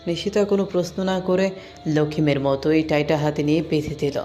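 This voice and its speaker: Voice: medium pitch, brisk, warm tone. A narrator reading a scripted love story, with music underneath.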